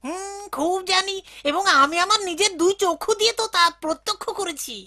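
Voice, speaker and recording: নারীকণ্ঠে খুব চড়া গলার তর্কপ্রিয় কার্টুন গ্রামবাসী. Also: female voice, very high voice, fairly quick, bright, forceful tone. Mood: defiant. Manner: argumentative, exclamatory. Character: impatient, defiant villager demanding water and proof. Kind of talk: animated cartoon dialogue.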